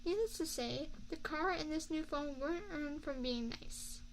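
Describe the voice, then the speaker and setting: A woman playing an affectionate young mafia boss girlfriend in an ASMR roleplay, speaking in a soft, confiding, measured way close to a binaural microphone. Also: sits high, slight background noise, rough recording. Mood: guarded, serious. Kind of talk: ASMR girlfriend roleplay, scripted intimate monologue.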